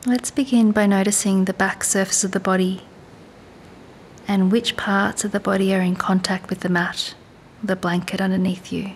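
A woman leads a guided body scan meditation in a calm, soothing, unhurried way, leaving long pauses. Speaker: meditation and yoga teacher. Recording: faint low rumbling background noise.

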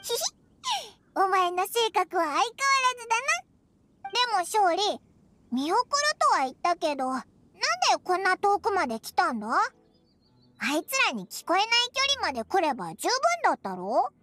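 Super high squeaky anime fairy sidekick, cheeky and blunt, bright piercing voice. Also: female voice, very animated, moderate pace, dry recording, faint music behind, heavily acted. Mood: cheeky, curious. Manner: boyish, blunt, squeaky chatter. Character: tiny floating fairy companion, childlike, cheeky and excitable. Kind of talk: anime game voice acting.

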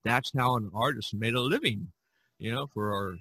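A man hosting a casual podcast discussion, talking haltingly and often repeating his words. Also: mid-range voice, sharp tone, breathy edge. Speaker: podcast host.

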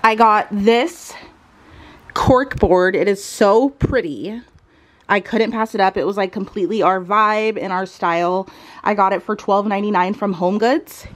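A woman's voice: medium pitch, measured pace. A woman in her thirties chatting casually and enthusiastically, like a lifestyle vlogger showing things off at home. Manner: enthusiastic, gushing. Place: furnished bedroom at home, indoors.

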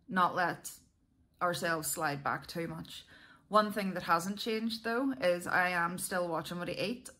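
A woman's voice: lowish voice, pushed and bright. A Northern Irish woman chatting casually and candidly to her viewers, with a self-deprecating, conversational manner. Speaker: vlogger.

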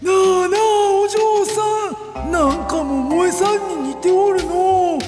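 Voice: extremely high pitch, measured pace. An actor playing a lecherous, lonely old man with wheedling geezer speech in a comic radio drama. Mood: flirtatious. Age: older. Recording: echoey reverb with loud music.

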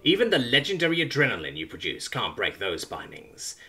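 A man voicing an ancient, bitter alien abductor with needle teeth, speaking slowly and menacingly, often in a whisper. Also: deep voice, big pitch swings, sharp tone, faint music behind, voice pushed down, heavily acted. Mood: ranting.

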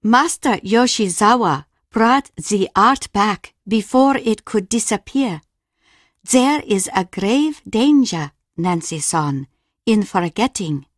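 A woman playing a polite, solemn Japanese innkeeper who teaches traditional arts in a video game, speaking formally and with measured care. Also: mid-range voice, expressive, no room sound, clean studio sound. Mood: approving, solemn. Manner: formal, measured, gently instructive. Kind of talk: scripted video game dialogue.

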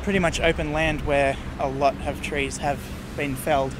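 A man, casually narrating while he walks outdoors, relaxed and hesitant, with many fillers. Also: on the high side, moderate pace. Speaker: urban explorer vlogger. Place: outdoors beside a busy road. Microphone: handheld camera mic, speaker off screen. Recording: outdoor camera audio with clear traffic noise.

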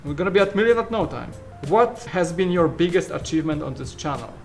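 A man's voice: fairly high pitch, animated, fast, gravelly, faint music behind. Polish-accented man, joking casual host. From Poland. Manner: joking, trails off mid-sentence. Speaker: channel co-host answering viewer questions. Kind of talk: casual Q&A chat. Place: large studio room full of shelves.

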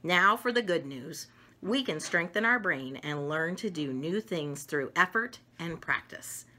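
Animated, bright-voiced woman reading aloud. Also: on the low side, a little slow. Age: middle-aged. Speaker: storyteller reading picture books. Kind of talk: read-aloud of a children's educational book. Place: home dining room, indoors.

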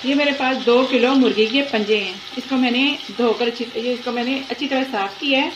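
High-voiced woman narrating cooking over sizzle. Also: muffled recording. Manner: unhurried, repeats each step as she works. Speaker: cooking channel host. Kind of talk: step-by-step cooking instruction. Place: home kitchen, at the stove. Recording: echoey kitchen with sizzling noise. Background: frying and sizzling from the pot.

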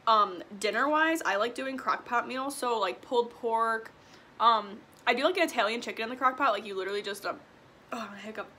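A woman's voice: on the high side, a little fast, sharp tone. A twenty-year-old woman from Illinois chatting casually and eating while she answers viewer questions, with lots of "like" and "um". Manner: chatty, eats while talking, frequent "like" and "um". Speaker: vlogger. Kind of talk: casual mukbang Q&A chat. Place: small room indoors at home.

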